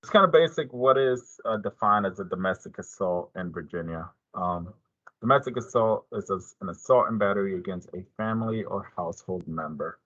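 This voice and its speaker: A man's voice: sits low, fairly quick, a bit bright, no echo, muffled recording. A man giving an informational presentation as a police detective, explaining things in a plain, informal way.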